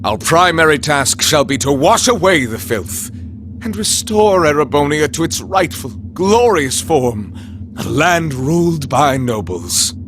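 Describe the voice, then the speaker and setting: A man's voice: a little high, animated, a bit bright, soft background music. An actor playing a haughty, contemptuous nobleman villain in a video game, speaking with grandiose pomp. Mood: grandiose, disdainful. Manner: pompous, grandiose, slow oratory. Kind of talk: dramatic video game cutscene acting.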